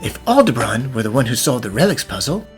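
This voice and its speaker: A courteous gentleman professor played by a man, composed and measured as he reasons things out. It is cartoon mystery acting, with music underneath. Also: medium pitch, very animated, close to an everyday voice. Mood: composed, pondering. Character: composed, polite gentleman puzzle-solving professor. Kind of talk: animated mystery fan dub.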